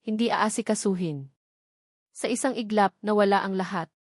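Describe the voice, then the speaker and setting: Woman, dramatic story narrator, mid-range pitch, dry studio sound, scripted delivery. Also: normal speed, no room sound. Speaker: narrator of audio love stories.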